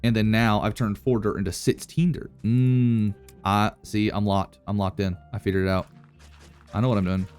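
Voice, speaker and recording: A man's voice: fairly low pitch, level tone, moderate pace, a little mellow, clean tone, soft background music. A man giving casual, rambling gameplay commentary and answering chat, joking about himself and then boasting. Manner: rambling, self-deprecating, playfully cocky. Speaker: Minecraft YouTuber.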